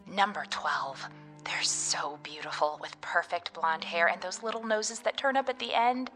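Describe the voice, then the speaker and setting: An actress playing an excitable, looks-obsessed teenage girl with breathless, gushy chatter in a radio drama. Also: on the low side, moderate pace, soft background music. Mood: gushing, gossipy.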